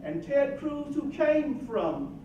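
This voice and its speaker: A man's voice: extremely high pitch, big pitch swings, slow, muffled recording. An older man from Georgia speaking passionately and indignantly in a panel discussion, recorded from afar in an echoey room. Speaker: roundtable participant. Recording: distant echoey room mic with noise.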